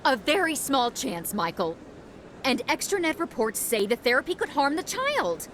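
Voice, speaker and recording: An actress playing a stubborn, grieving young widow in a video game drama, defiant and emotional. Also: female voice, high-pitched, sing-song, normal speed, fairly bright tone, slight background noise. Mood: grieving, firm.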